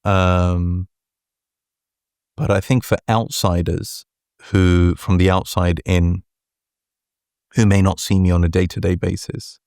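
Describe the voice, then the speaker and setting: A man with a deep voice answering interview questions as a guest, measured and deliberate, often checking in with "right?". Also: level tone, a little mellow, clear, resonant tone, no echo, studio quality. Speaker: venture capital partner, guest.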